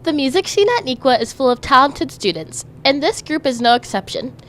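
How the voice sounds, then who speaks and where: A woman hosting a school news show, reading scripted introductions in an upbeat, clear way. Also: sits high, animated, a little fast, fairly bright tone. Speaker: student news host.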